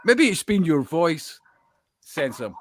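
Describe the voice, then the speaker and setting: A man talking casually and opinionatedly in an online discussion, often pausing to check that listeners follow his point. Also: fairly high pitch, big pitch swings, slight background noise. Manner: hesitant phrasing with rhetorical check-in questions. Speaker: panellist in an online discussion hangout. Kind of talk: casual, rambling opinionated talk.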